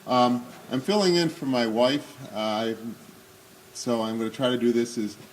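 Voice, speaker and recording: A man in his sixties with a booming voice, giving an unscripted talk at a remembrance service with many pauses and fillers, then reading memoir excerpts aloud. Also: a little hiss or hum, low-quality recording. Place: synagogue hall. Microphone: speaking without a microphone.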